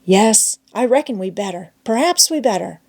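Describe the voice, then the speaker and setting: A woman's voice: mid-range voice, lively intonation, normal speed, creaky, a little mellow. A woman voicing an adventurous young boy in a storybook, reassuring but uneasy, with folksy wording. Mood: uneasy reckoning. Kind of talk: children's novel read aloud.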